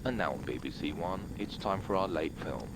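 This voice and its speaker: A man formally introducing a late film as a deadpan television continuity announcer. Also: sits low, fairly quick, background noise.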